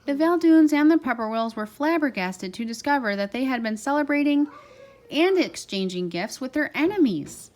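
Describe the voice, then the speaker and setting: A woman with a fairly high voice reads a picture book aloud, as a parent would to a child. Her storytelling is expressive, with key words given extra stress. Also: normal speed.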